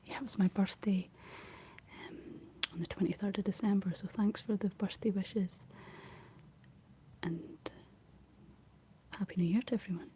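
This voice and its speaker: A woman's voice: medium pitch, fairly even intonation, unhurried, pauses often. Whispering young Scottish woman. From Scotland. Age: young adult. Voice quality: soft, breathy whisper. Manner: gentle, rambling chat while painting. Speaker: ASMR streamer reading and answering live chat. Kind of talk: whispered ASMR casual chat. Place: living room.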